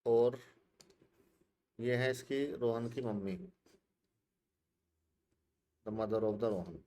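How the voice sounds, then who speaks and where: Slow-talking math teacher in his fifties. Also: mid-range voice, warm tone. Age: 50s. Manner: slow explanations, asks students questions and repeats their answers. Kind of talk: classroom lecture mixing Hindi and English.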